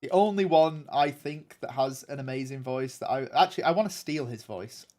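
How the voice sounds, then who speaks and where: A man's voice: mid-range voice, lively intonation, normal speed, darkish tone. A young man with a nasal voice, chatting casually with viewers on a game stream in a rambling way. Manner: rambling and chatty, many ums and restarts. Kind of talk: casual livestream chat answering viewers.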